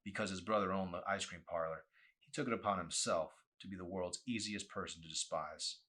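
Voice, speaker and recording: A man's voice: deep voice, fairly even intonation, brisk, bright, forceful tone, slightly rough. A man reading a novel aloud as a dramatic audiobook, with an intense, theatrical delivery.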